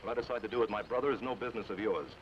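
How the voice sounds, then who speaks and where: An actor playing a brooding outlaw leader who gives curt, cold commands, in old radio drama style. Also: male voice, lowish voice, very fast, background noise, lightly acted. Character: brooding, commanding outlaw man, quick to anger. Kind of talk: 1940s radio acting. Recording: dull old radio broadcast.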